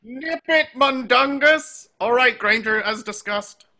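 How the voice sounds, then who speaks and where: A man playing a gruff, impatient old wizard war veteran who barks curt orders in a table read over a video call. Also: extremely high pitch, takes it slowly, bright, forceful tone, heavily acted. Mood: gruff, stern. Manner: barking, commanding, curt.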